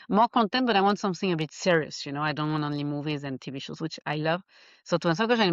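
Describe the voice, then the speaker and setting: Woman with a Swiss French accent, French native speaker, lively laughing chat, dry dull remote audio. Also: low-pitched, dry recording. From Geneva, Switzerland. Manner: animated, laughs often, searches for words. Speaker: life coach guest. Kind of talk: casual interview chat.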